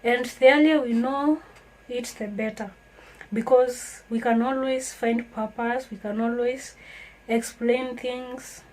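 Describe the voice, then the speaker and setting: A woman's voice: a little high, fairly even intonation, slow pace, darkish tone, low-quality recording. A woman from Kenya, talking earnestly and reflectively to her viewers in a casual vlog. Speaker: vlogger. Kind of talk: casual talk to camera.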